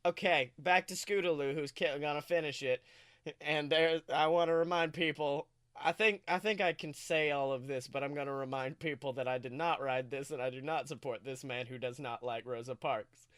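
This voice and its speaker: An animated podcast host in casual comedic chat. The voice has a middling pitch and swears freely, recorded dry. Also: normal speed, smooth, no room sound.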